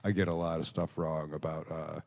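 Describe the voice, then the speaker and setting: Young American male podcast guest, late twenties, deep relaxed voice, informal, joking, rambling chat. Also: a little fast, vocal fry. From Chicago area, United States. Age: late 20s. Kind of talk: casual chat.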